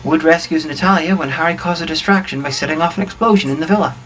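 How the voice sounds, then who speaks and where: A man narrates a comic plot recap at a quick clip. His voice is slightly high, and his delivery is dry and wry. There is faint noise behind him. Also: a bit bright, low-quality recording. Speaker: co-narrator of a comedy film review.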